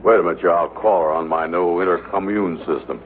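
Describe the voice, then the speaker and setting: An actor playing a pompous, hapless big-talker in an old radio sitcom, blustering in folksy broken grammar and malapropisms. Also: male voice, sits low, fairly even intonation, brisk, close to an everyday voice. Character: scheming, hapless, pompous big-talking fiancé, a grown man. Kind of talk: 1940s-50s radio sitcom acting. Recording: noisy, tinny vintage radio broadcast.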